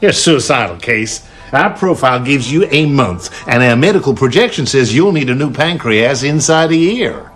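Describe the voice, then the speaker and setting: A man plays a commanding ex-special-forces recruiter in a radio drama. His mid-range voice swings widely in pitch, yet he stays deliberate and authoritative, with a smug, cool menace. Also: animated, fairly bright tone, soft background music, lightly acted.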